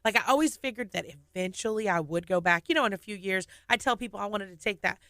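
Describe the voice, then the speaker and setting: A woman in her thirties, a singer and nurse, telling her story with lively laughs in a casual radio studio interview. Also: mid-range voice, quick pace, no echo.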